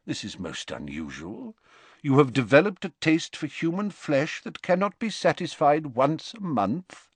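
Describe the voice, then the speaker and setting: A man voicing a calm, courteous elderly male wizard who speaks quietly. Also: fairly high pitch, unhurried, slightly rough, no room sound, pitched above the performer's own voice, heavily acted.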